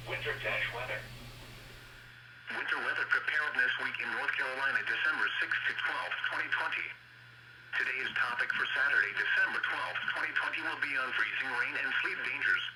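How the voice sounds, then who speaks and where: A man reading scripted public information as a weather radio announcer, in a formal, measured, synthetic-sounding delivery over the radio. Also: mid-range voice. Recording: roomy, distant, noisy weather radio broadcast.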